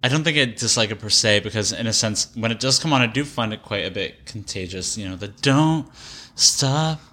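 A man's voice: fairly low pitch, lively intonation, fairly quick, sharp tone. A man co-hosting a casual music discussion in a hedging, conversational manner. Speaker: podcast co-host.

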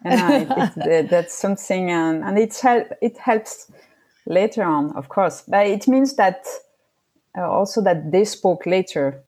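Woman, low-pitched. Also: expressive, measured pace, dark tone. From Switzerland. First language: French. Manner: thoughtful, frequent restarts and fillers. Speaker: guest. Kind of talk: casual interview conversation.

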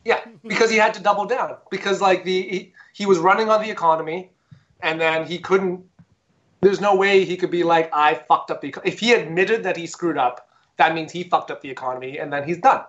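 A man's voice: sits high, brisk, a bit bright, lo-fi sound. A man co-hosting a podcast, talking in a rambling, sarcastic and sweary way. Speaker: podcast co-host. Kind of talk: casual opinionated chat.

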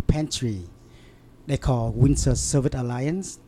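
A man in an interview, telling a story in halting, broken English with many fillers and restarts. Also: mid-range voice, noisy background. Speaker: interview guest. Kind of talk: casual storytelling.

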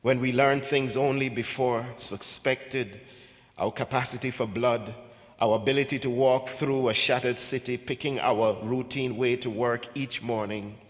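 Guy from ghana reading his poems, measured and deliberate, a bit halting between them, lots of hall reverb. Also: medium pitch, fairly even intonation. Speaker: poet. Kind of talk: poetry reading with spoken introductions.

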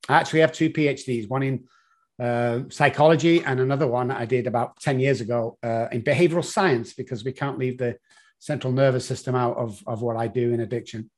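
A man's voice: mid-range voice, a little fast. Mancunian man telling his story. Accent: Mancunian English. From Manchester, England. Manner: animated, rambling with fillers and restarts. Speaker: guest, addiction consultant. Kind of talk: conversational storytelling.

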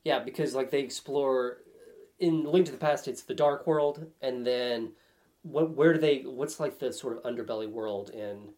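A man's voice: mid-range voice, normal speed, darkish tone. Wry man chatting casually about games. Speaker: podcast guest.

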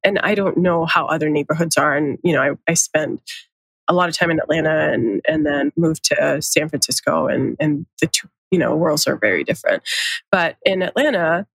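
Woman w/ a light pakistani accent, low-ish voice, candid and laughing a lot, dry close mic. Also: moderate pace, vocal fry, breathy edge, dry recording, poor audio. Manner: laughs often, candid and reflective. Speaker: podcast guest. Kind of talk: casual conversation.

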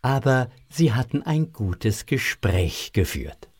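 A man's voice: medium pitch, big pitch swings, slow pace, husky. A man narrating a children's audio drama as a scripted storyteller. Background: music.

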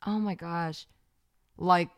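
Slow-talking woman with a smooth low voice. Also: fairly even intonation. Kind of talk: casual chat.

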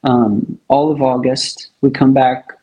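A man's voice: medium pitch, flat delivery, slow, warm tone, poor audio. A man speaking casually and enthusiastically as a musician being interviewed.

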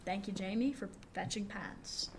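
A woman's voice: medium pitch, a little slow, close to an everyday voice. A woman playing a plainspoken, encouraging seasoned sailor in a period radio drama, folksy and reassuring. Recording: background noise, ship ambience.

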